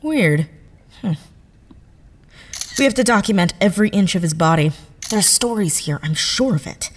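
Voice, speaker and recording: An actress playing a brisk, curious female intelligence agent in an audio drama, speaking in a commanding way. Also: medium pitch, expressive, slight background noise, lightly acted. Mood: intrigued.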